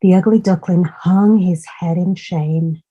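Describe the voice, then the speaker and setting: A woman storyteller reading a children's story in a deliberate, expressive manner, with asides and questions to young listeners. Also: lowish voice, lo-fi sound.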